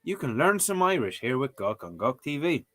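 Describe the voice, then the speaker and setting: A man's voice: mid-range voice, very animated, normal speed, a bit bright. A man casually commenting on a livestream as he works through a language lesson, joking and throwing in mock exclamations. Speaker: streamer learning a language. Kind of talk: casual live-stream commentary.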